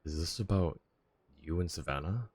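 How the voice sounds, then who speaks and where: A man's voice: low-pitched, normal speed, darkish tone, breathy edge. A man giving casual, rambling live commentary as he streams a video game. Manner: rambling, conversational.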